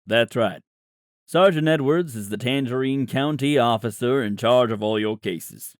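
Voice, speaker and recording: Man playing an earnest, measured father. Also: mid-range voice, no echo, studio quality, lightly acted. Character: earnest father pleading a restitution plan. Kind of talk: audiobook character voice.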